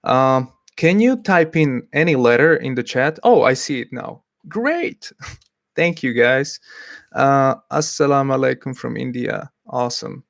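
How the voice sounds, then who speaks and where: A man hosting a casual livestream, chatty and a little hesitant as he reads out messages from the chat. His voice sits at an ordinary middle pitch. The sound is dry and close, with no room echo. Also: expressive, unhurried, creaky. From Slovakia. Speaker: livestream host.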